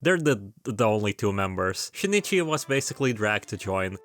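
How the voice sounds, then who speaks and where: A man's voice: fairly low pitch, big pitch swings, normal speed, a bit bright. Wry man narrating a story recap over music. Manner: wry, irreverent, slangy. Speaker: video essayist. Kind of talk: casual scripted video narration with joking asides.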